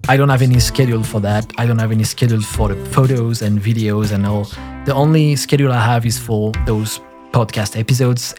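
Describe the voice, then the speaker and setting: A man with a thick French accent hosting a solo podcast, talking in a relaxed, halting way with frequent restarts. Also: medium pitch. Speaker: podcast host.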